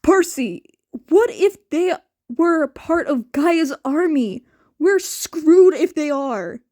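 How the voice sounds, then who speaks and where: A woman's voice: high voice, animated, slow pace, warm tone, pitched above the performer's own voice. A woman voicing an anxious, alert young companion who sounds worried and cautioning in an audio story.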